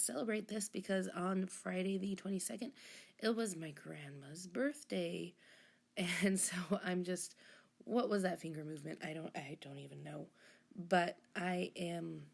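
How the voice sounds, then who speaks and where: A woman with a low, gravelly voice talks through her journaling in a relaxed voice-over. Her throat is hoarse and a little froggy from being sick. She rambles, corrects herself, and lets some asides drop almost to a whisper. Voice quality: husky, rough. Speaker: journaling channel host. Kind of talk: casual voice-over chat.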